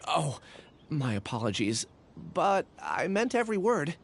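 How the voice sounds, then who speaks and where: A frank young warrior in a video game. Her voice swings lively up and down as she talks bluntly and teases a little. She says what she thinks. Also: sits low, measured pace, a little hiss or hum, exaggerated voice. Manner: candid and direct, lightly teasing.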